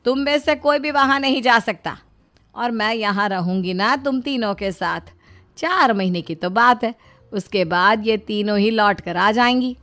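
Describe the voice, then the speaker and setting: A woman's voice: a little high, unhurried, fairly bright tone. A woman voicing a shrewd middle-aged mother-in-law in a moral story, explaining things in a plaintive way. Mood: firm. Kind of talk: acted moral-story dialogue.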